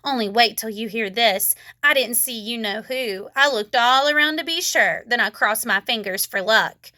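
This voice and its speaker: High, bright-voiced woman reading a storybook. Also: moderate pace, no room sound, clean studio sound. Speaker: storybook reader. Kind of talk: children's read-aloud narration.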